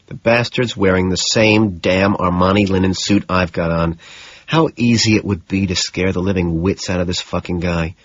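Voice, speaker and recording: A man's voice: low-pitched, breathy edge, no room sound, lo-fi sound. A man reading scripted first-person fiction as an audiobook narrator.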